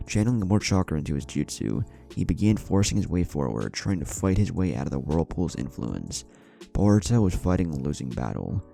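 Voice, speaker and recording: A man's voice: rumbling low, creaky, mellow. A man reading an adventure story as a dramatic scripted narration. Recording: background music under the voice. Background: music.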